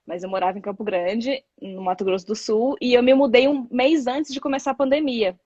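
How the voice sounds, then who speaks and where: A woman in her thirties, a guest content creator, talking in a rambling, expressive way with many fillers on a video call. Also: on the high side, brisk, no room sound, dull audio. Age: 30s. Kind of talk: casual live chat.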